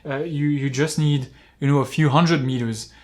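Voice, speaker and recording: A man talking casually as an outdoor climbing vlogger, explaining gear with examples in a halting, rambling way. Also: a little high, fairly even intonation, a little mellow. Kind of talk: casual product-talk vlog, explaining with examples.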